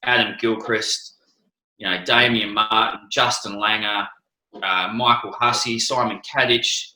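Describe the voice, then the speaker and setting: Australian man, bright voice, echoey room. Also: lowish voice, steady tone, a little slow. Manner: hesitant, many restarts and ums. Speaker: interview guest, former cricketer. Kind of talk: casual interview answers.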